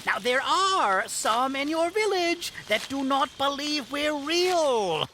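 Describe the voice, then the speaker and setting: A woman's voice: high-pitched, lively intonation, slow pace, sharp tone, a little hiss or hum, heavily acted. A woman playing a whimsical, mischievous yet kindly elf in an audio drama, giggly and theatrical, with playful rhymes and grand old-fashioned phrasing. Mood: whimsical, chatty.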